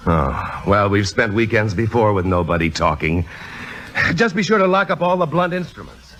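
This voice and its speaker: An exasperated husband in a 1950s radio drama. His voice swings widely in pitch, emphatic and blunt, with a sardonic edge. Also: sing-song, moderate pace. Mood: dry, sardonic.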